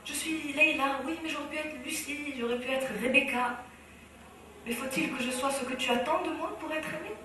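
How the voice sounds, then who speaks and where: A woman's voice: high voice, normal speed, sharp tone, slight background noise. Moroccan woman reciting slam in a hall. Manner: rhythmic, declamatory, impassioned. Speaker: speaker. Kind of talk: spoken-word slam recital. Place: large hall on stage. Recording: very echoey hall, from far off.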